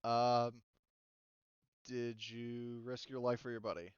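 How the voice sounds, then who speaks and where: A man's voice: medium pitch, little pitch movement, slow pace, clear, resonant tone, no echo. A man running a tabletop roleplaying game as game master, chatting casually. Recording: slightly dull, dry close microphone.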